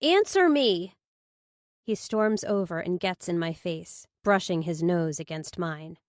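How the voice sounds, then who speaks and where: A woman's voice: on the low side, measured pace, dry recording. Woman narrating a thriller, dry sound. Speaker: audiobook narrator. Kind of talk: scripted first-person thriller narration.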